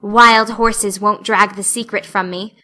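A woman's voice: fairly high pitch, normal speed, sharp tone. A woman voicing an earnest, talkative young girl in a storybook, with a solemn, dramatic and plaintive way of speaking. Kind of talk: audiobook character acting.

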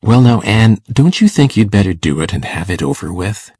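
A man playing a shy, kindly older farmer in a storybook, hesitant and folksy, coaxing gently and repeating himself. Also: on the low side, animated, normal speed, husky. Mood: earnest, coaxing. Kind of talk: audiobook character acting.

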